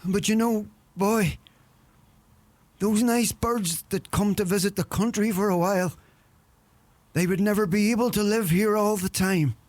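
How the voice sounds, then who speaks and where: A man's voice: high-pitched, steady tone, unhurried, pauses often, a little mellow. An actor playing a wry, gloomy older countryman with a Donegal Irish accent in a radio play. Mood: wry, reflective. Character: older rural Irish man, wry, gloomy, folksy sage.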